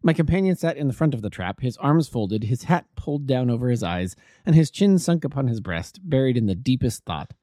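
A man's voice: mid-range voice, animated, fairly quick, hardly any pauses, mellow, no echo, studio quality. A man reading a classic detective story aloud as an amateur audiobook narrator.

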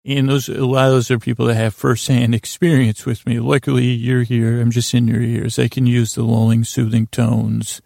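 Creaky, meandering man, close and dry. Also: medium pitch, little pitch movement, dark tone, no echo. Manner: halting, drawn-out, with long pauses and circling digressions. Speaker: podcast host. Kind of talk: rambling, meandering bedtime monologue.

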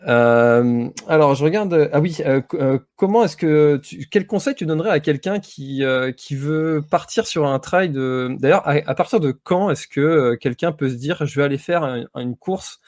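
A man's voice: a little high, normal speed, hardly any pauses, a little mellow, no room sound, clean studio sound. A man hosting a casual interview, asking questions hesitantly as he searches for his wording. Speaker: podcast host, interviewer.

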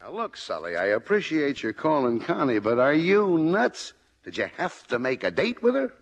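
A man's voice: on the high side, huge pitch swings, moderate pace. An actor playing a flustered, well-meaning suburban father and football coach in a 1950s radio comedy, with an exasperated, sputtering manner. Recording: old 1950 radio broadcast.

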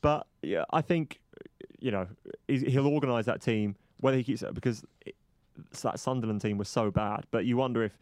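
A man's voice: mid-range voice, darkish tone, no echo. A man hosting a football podcast in casual chat, wry and dryly humorous, with plenty of fillers. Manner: wry, dry humour, quick, filler-heavy. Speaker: podcast host.

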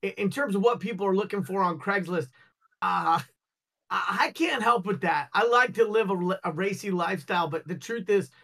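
Gender: man. Pitch: high. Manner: blunt, salty. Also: expressive, normal speed, gravelly, pushed and bright. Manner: blunt, opinionated, salty language; folksy analogies. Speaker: guest on a cannabis news show. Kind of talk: casual podcast chat.

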